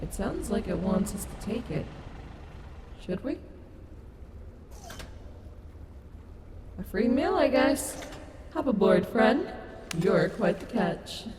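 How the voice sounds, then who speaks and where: A woman voicing a protective, excitable female animatronic wolf in an informal, affectionate audio roleplay. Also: unhurried, a little hiss or hum. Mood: playful. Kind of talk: ASMR audio roleplay acting. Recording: echoey, with loud ambient sound effects. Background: loud ambience and rumbling effects.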